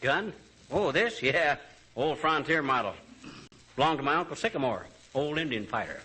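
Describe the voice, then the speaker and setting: An actor playing a blustering, cocky husband with flowery sarcastic wisecracks, in the manner of 1940s radio comedy. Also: male voice, a little high, animated, moderate pace, pushed and bright, breathy edge, heavily acted, rough recording. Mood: nonchalant. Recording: hissy old radio broadcast.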